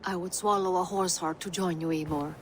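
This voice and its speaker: Actress as low voiced norse woman in a game, dry, formal, loyal, bit of noise behind. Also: fairly even intonation, brisk, lightly acted. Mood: resolute. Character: weary, capable Norse woman, sardonic, fiercely loyal. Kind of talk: dramatic video game dialogue.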